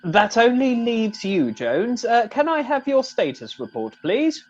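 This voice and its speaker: Man playing a husky, diffident sergeant. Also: extremely high pitch, big pitch swings, unhurried, lo-fi sound, faint music behind, exaggerated voice. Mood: courteous, dithering. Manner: diffident, courteous, hesitant. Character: polite, hesitant, mild-mannered middle-aged sergeant. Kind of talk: amateur comedy radio play.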